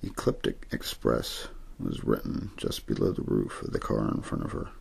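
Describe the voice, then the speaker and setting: A man reading a story in ASMR style, untheatrical and meant to lull listeners to sleep, with a low, gravelly, husky voice. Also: sing-song, normal speed, a little mellow. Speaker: amateur story reader.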